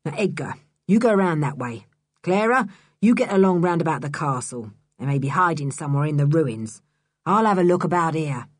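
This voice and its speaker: A woman voicing a gruff, scornful middle-aged man with a hoarse voice in a storybook reading. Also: deep voice, animated, moderate pace, dry recording, studio quality. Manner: scornful, mocking.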